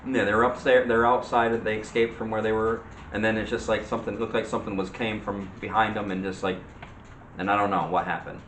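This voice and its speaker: A man co-hosting a casual movie chat, giving opinions in a halting, rambling, repetitive way. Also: on the low side, flat delivery, fairly bright tone. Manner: halting, rambling, repeats himself. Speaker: co-host of a movie discussion show. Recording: roomy echo with faint background noise.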